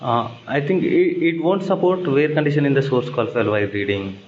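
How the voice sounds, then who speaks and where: A man giving halting, hesitant answers as a candidate in a technical interview. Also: mid-range voice. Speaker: job candidate. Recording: dull, echoey, noisy call recording.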